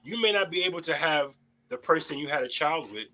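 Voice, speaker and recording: A young man hosts a panel debate with a high voice and a bright, forceful edge. He holds forth bluntly and sermonizes with plenty of swearing. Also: lively intonation, a little fast, poor audio. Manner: blunt, heavy swearing, preachy. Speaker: host.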